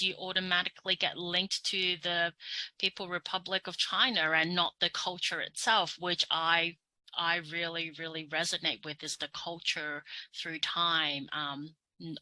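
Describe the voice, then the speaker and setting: A woman's voice: fairly low pitch, sharp tone, no echo, poor audio. A young Australian woman with an Australian accent, hosting a casual conversation, talking openly with frequent ums and restarts. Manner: chatty, with many fillers and restarts. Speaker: podcast host.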